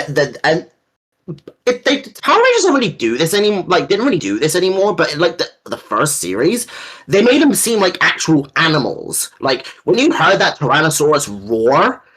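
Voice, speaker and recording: Animated, slangy man in his early twenties. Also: on the high side, moderate pace, husky. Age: early 20s. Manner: informal, slangy, opinionated. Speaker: co-host. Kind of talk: casual chat.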